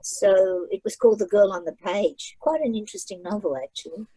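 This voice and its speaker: A chatty woman author talks over a video call, her voice mellow and a little husky. She learned English as a second language and leans on repeated phrases for emphasis. The sound is dry and close. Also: mid-range voice, animated, brisk, dry recording, rough recording. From migrated to Australia at age nine. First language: not English. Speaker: author and guest speaker. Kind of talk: casual online library talk.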